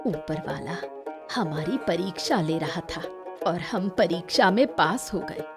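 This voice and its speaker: A woman voicing a determined, wise village mother in a cartoon, calm and measured. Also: fairly low pitch, music in the background. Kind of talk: Hindi cartoon dubbing.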